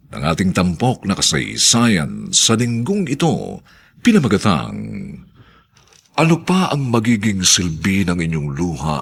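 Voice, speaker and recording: A Filipino man announcing a radio drama in solemn, theatrical scripted narration with drawn-out phrasing. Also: fairly low pitch, gravelly, husky.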